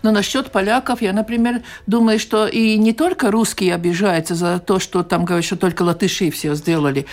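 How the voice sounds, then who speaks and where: A woman from Latvia talks as a political scientist on a radio discussion program. Her delivery is conversational and mid-pitched, and she often chimes in with short agreements. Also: steady tone, brisk, hardly any pauses.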